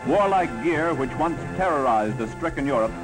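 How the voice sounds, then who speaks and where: A man's voice: on the high side, lively intonation, moderate pace, low-quality recording. A man narrating a scripted wartime newsreel from the 1940s in a dramatic, triumphal, declamatory manner. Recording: vintage 1940s newsreel soundtrack. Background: newsreel music.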